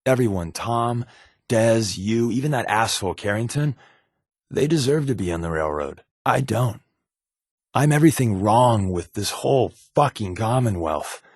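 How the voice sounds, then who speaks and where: A man's voice: fairly low pitch, breathy edge, dry recording, clean studio sound, heavily acted. A man playing an easygoing, wisecracking secret agent with hidden guilt in a video game, talking in a wry, casual, conversational way. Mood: self-loathing. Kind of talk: video game companion dialogue.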